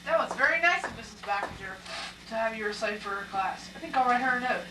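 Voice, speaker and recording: A woman's voice: fairly high pitch, expressive, quick pace, lightly acted. Woman as a firm puppet dad, echoey. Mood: grateful. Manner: firm, matter-of-fact. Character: strict, no-nonsense German father puppet. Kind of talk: amateur puppet show acting. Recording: echoey, distant, noisy live recording.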